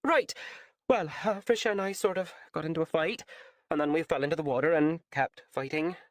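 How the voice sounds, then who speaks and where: A man voicing an awkward, excitable young woman warrior who talks in flustered gushes. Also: brisk, no room sound, clean studio sound, lightly acted. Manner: flustered, gushing, high-pitched.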